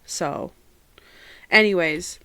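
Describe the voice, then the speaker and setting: A woman's voice: lowish voice, drawn-out words, pushed and bright, smooth, no echo. Young female podcast host, nasal with a cold, rambly and talkative, clean dry recording. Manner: chatty, rambling, self-aware about yapping. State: stuffy head cold, nasal. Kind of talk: casual solo podcast chat. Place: own room at home.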